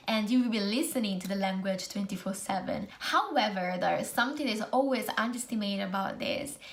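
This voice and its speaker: A young Italian woman whose first language is Italian, giving casual advice in English to camera with an Italian accent, chatty and full of "like" and "basically". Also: medium pitch. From Italy. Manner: chatty, lots of "like" and "basically", non-native phrasing. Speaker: vlogger.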